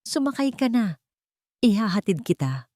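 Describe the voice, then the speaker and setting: Woman voicing calm, insistent protective young man. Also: medium pitch, normal speed, no echo, clean studio sound, voice pushed up, natural voice. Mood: urgent concern. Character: concerned, firm, protective young wealthy man.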